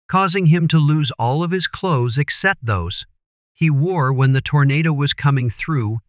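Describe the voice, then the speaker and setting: A man's voice: mid-range voice, dry recording, lo-fi sound, close to an everyday voice. A man playing a TV news reporter, narrating a scripted report in a grave, formal manner.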